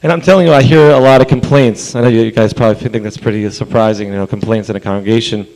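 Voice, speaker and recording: A man whose voice is hoarse after a long holy day speaks at a council meeting. He talks in an earnest, chatty way with fillers and asides, at a middle pitch. He is clearly addressing a room from a podium. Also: fairly even intonation, quick pace, darkish tone, low-quality recording. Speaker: rabbi speaking at public comment. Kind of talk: public comment speech. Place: council chamber.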